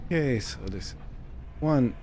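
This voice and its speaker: A man giving casual roleplay game commentary, thinking aloud in short, unhurried phrases over a background hum. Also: medium pitch, lively intonation. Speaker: gaming video host.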